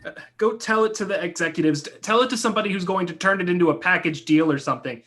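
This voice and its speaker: A man's voice: high-pitched, quick pace, fairly bright tone. A man playing an embittered, stammering screenwriter in a play reading, wounded and defiant. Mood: angry, defiant. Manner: stammering, protesting.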